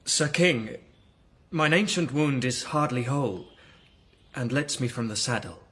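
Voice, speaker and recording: An actor playing a proud, troubled knight in a classical radio drama, speaking formal archaic verse. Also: male voice, medium pitch, lively intonation, measured pace, a bit bright, slight background noise. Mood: uneasy.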